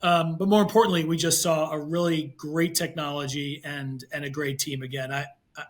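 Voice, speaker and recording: A man answering as an investor guest in an interview, conversational and halting, often repeating words and phrases. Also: a little high, steady tone.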